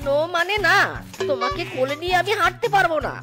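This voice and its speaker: A woman's voice: very high voice, quick pace, over music. A woman voicing a greedy, boastful fox husband in a comic Bengali cartoon, wheedling and grumbling. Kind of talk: Bengali animated comedy story dialogue.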